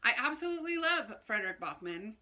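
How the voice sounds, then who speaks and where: Super bright chatty lady doing a book vlog, kinda high voice, casual n animated, goes off on little asides. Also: fairly quick. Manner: animated, informal, self-interrupting asides. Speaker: book review video host. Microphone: phone camera recording.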